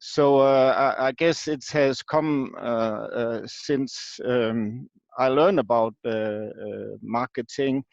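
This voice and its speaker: A man from Denmark with a Danish accent, explaining as an expert interview guest in a halting, hesitant way with frequent ums and pauses. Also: mid-range voice, creaky, dry recording. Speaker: guest expert, marketing professor. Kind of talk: unscripted explanation in an online interview. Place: video call. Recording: dull lo-fi online call audio.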